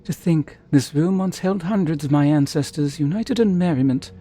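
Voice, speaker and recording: An actress playing a wistful, eloquent wanderer in a fantasy video game, speaking in a formal, musing way. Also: female voice, sits low, normal speed, dark tone, natural voice. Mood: wistful, lonely. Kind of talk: video game character acting.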